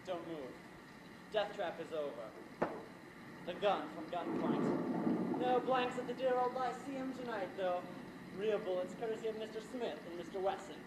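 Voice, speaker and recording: A man's voice: sits high, lively intonation, normal speed. Man acting a suave murderous playwright, echoey stage. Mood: coolly menacing. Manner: dryly sardonic, hesitant polite phrasing. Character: scheming middle-aged male playwright, suave, wry and murderous. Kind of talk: theatrical stage thriller acting. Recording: echoey, very noisy amateur stage play recording.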